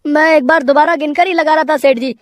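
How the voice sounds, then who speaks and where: A woman's voice: sits high, fairly even intonation, brisk, dry recording, studio quality, pitched above the performer's own voice, lightly acted. A woman voicing a sly male shop worker in a cartoon moral story, ingratiating and pleading. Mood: anxious, sly. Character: sly young male shop worker, eager to blame others.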